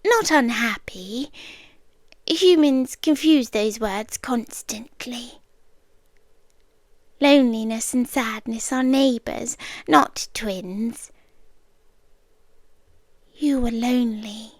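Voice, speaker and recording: A British woman playing an immortal, obsessively patient yandere stalker in an intimate ASMR roleplay, speaking softly and deliberately, often dropping into whispers, close to the microphone. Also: fairly high pitch, no room sound. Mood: wistful, tender.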